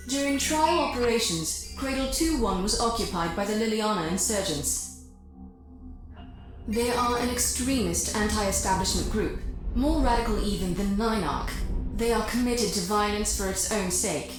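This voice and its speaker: An actress playing a formal, composed corporate envoy delivering a scripted mission briefing in a video game. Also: female voice, mid-range voice, expressive, a little slow, lightly acted. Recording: very roomy echo, loud music behind.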